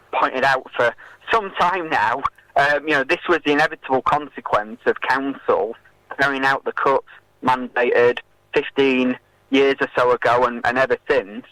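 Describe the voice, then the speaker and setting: Male activist guest for radio political interview; hesitant, stop-start delivery, middling pitch, very dry acoustic. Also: bright, forceful tone, no echo, poor audio. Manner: hesitant, halting with many filled pauses.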